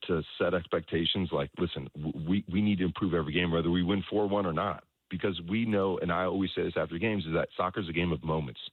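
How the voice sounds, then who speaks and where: Low-pitched guy, soccer coach being interviewed, halting and repetitive, muffled phone-like sound. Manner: halting, repeats words and often checks in with "right?". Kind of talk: conversational sports interview, explaining his coaching philosophy. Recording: roomy echo, dull lo-fi sound.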